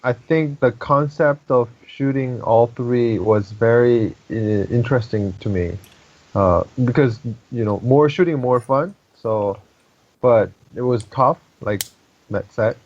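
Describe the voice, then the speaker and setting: Halting man, not a native English speaker. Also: medium pitch, a little mellow, highs cut off. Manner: halting, searching for words. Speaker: podcast guest, competitive shooter. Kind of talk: casual chat.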